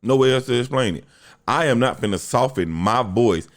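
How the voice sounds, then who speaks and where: A young man hosting a solo podcast, talking bluntly and profanely in a deep voice, telling a story with lots of animation. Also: expressive, moderate pace. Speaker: podcast host.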